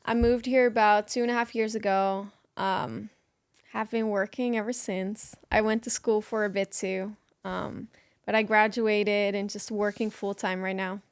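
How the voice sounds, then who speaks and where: A young woman from the Netherlands chatting as a guest in an interview, relaxed and talkative with frequent ums. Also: medium pitch, a little slow, smooth, no echo. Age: young adult. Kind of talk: casual interview chat.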